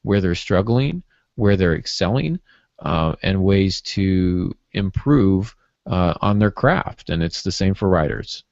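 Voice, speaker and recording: A man co-hosting a writing podcast, summing up and affirming points in a halting, conversational way. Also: deep voice, lively intonation, darkish tone, no echo, highs cut off. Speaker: podcast co-host, writer.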